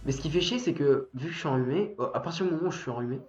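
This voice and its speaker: A man's voice: on the high side, racing. A teenage boy with a cold and a stuffy nose, rambling and swearing as he chats on a gaming stream. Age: teen. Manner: rambling, swears freely, bursts into angry shouting at the game. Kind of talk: casual gaming stream chat. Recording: roomy echo, dull lo-fi sound, faint music.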